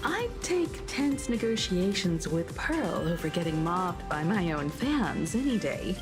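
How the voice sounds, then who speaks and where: Mature female game leader: wry, poised, very expressive range, clear music underneath. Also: on the low side, unhurried. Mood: wry, composed. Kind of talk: anime game voice acting.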